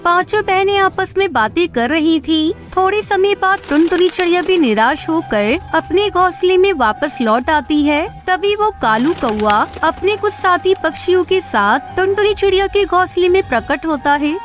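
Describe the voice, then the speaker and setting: A woman's voice: very high-pitched, animated, moderate pace, few pauses, soft background music. A woman narrator reading a scripted story for children.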